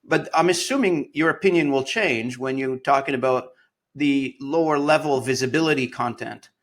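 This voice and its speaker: Podcast host asking halting questions. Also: male voice, medium pitch, a bit bright. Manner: hesitant, restarts his questions. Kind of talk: interview questions.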